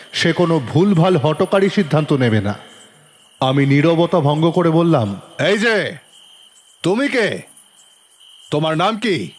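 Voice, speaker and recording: নাটকীয় ওঠানামার গলায় পুরুষ গল্পকথক. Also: male voice, fairly high pitch. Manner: theatrical, stern when questioning. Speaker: narrator playing a police detective. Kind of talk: dramatised first-person story narration. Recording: long reverb, faint music behind.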